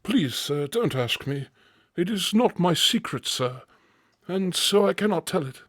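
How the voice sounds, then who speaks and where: A man's voice: high voice, expressive, measured pace, fairly bright tone, no room sound, clean studio sound, heavily acted. A man playing a deferential, evasive manor servant, loyal to family, with a hesitant, pleading manner in an audio drama.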